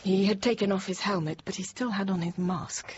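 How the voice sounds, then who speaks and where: An actress playing an anxious, tender thirty-year-old infant teacher, confiding her account in halting, reflective phrases in a radio drama. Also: mid-range voice, fairly even intonation, close to an everyday voice. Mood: haunted, reflective. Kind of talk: radio drama monologue, intimate first-person narration. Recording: dull radio sound with faint noise.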